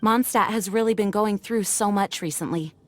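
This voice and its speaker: A woman's voice: mid-range voice, normal speed, soft background music, close to an everyday voice. A woman voicing a dutiful, earnest knight leader in an anime-style video game, formal and composed. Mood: relieved.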